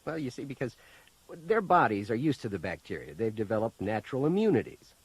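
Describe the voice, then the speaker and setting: An actor playing a friendly, wry high school science teacher in a science fiction audio drama, bantering expressively. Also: male voice, sing-song, a little fast, breathy edge, dry recording, poor audio. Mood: wry, jovial.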